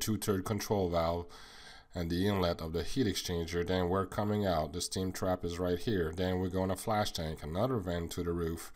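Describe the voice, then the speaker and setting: A man's voice: sits low, darkish tone. A man from Quebec with a French-Canadian accent, French his first language, earnestly explaining a slide presentation item by item. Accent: Québécois French. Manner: earnest, plain explanatory, lists items one by one. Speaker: sales manager.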